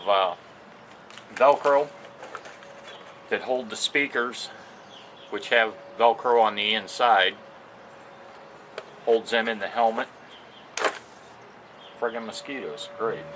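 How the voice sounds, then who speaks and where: A man giving a casual, unscripted product demo outdoors, talking in a plain-spoken, halting way. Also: mid-range voice, a bit bright. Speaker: amateur product reviewer. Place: outdoors on a porch. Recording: noisy outdoor recording with ambient sounds.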